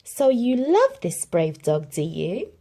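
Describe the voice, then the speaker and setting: A woman's voice: medium pitch, huge pitch swings, slow, warm tone, exaggerated voice. A woman voicing a concerned young husband who owns the dogs, in a storybook read-aloud, anxious and questioning. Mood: fond.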